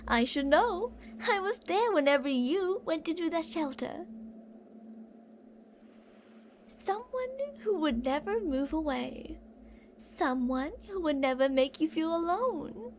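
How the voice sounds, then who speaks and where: High-voiced obsessive kidnapper woman, acted out by a woman. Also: expressive, unhurried, slight background noise. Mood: tender, possessive. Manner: cooing, possessive, theatrical, with pet names and whispered phrases. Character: obsessive older female kidnapper, doting yet menacing. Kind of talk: ASMR roleplay acting.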